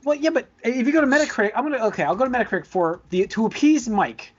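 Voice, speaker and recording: A man's voice: very high-pitched, expressive, racing, crowd noise. A man co-hosting a podcast, chatting casually and reading out rankings. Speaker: podcast co-host. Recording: dull, lo-fi voice recording.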